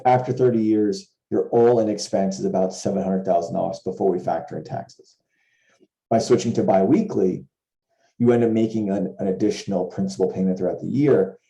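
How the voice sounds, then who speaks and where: A man's voice: on the low side, mellow, roomy sound, rough recording. A man giving an informal explanation on a video call, talking through worked examples and rephrasing points as he goes. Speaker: trading educator and co-host of a Q&A. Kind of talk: informal explainer talk over a shared screen. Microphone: video call with screen share.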